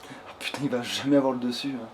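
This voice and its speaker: A man's voice: medium pitch, fast, slight background noise. A man giving casual, rambling gameplay commentary as a let's play host, swearing freely and talking crudely.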